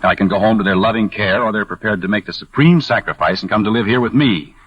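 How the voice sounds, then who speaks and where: An actor playing a despondent young veteran in a 1940s radio drama, halting and earnest as he speaks. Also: lowish voice, no echo, natural voice. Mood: brooding. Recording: muffled vintage radio recording with music behind.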